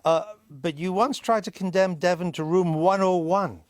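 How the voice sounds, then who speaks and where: A man's voice: high voice, fairly even intonation, normal speed, no room sound. A man hosting a TV political chat show, putting genial, quizzing questions to his guests in the studio. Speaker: host.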